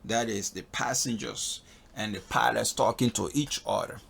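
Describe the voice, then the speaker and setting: A man with a gravelly, husky voice giving a casual step-by-step spoken walkthrough as an instructor, often checking in with "okay.". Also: fairly low pitch, fairly even intonation, normal speed, a bit bright, slight background noise. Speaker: flight instructor in a tutorial video. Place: voice-over on a screen recording.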